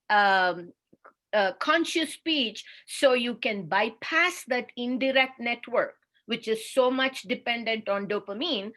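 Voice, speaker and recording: A woman with an Indian accent giving a slow, deliberate, instructive webinar talk as a speech therapist over a video call. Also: fairly high pitch, bright, forceful tone. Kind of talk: webinar presentation.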